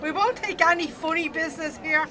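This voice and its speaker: A woman's voice: extremely high pitch, fairly even intonation, brisk. A woman playing a suspicious, blunt, combative bingo checker with a Dublin accent in an audio drama. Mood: suspicious, threatening. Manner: blunt, threatening. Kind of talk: radio drama acting. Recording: busy crowd behind.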